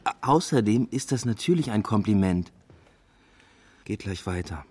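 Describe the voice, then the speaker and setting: An actor playing a dry, understated male academic in naturalistic radio drama dialogue, laconic and low-key. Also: expressive, normal speed, darkish tone, breathy edge. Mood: dry, teasing.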